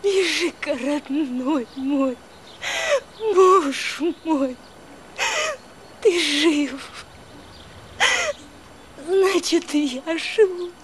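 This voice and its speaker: A woman's voice: high-pitched, drawn-out words, long pauses, a little hiss or hum. An actress playing a loving young wife who pleads with her husband earnestly and emotionally in a film. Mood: tender.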